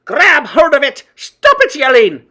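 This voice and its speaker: Man putting on a screeching, very high witch leader. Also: sing-song, unhurried, sharp tone, exaggerated voice. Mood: urgent, commanding. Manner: screeching, imperious, barking orders. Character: shrieking, triumphant, cruel female witch leader, commanding. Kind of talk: dramatised children's storybook reading.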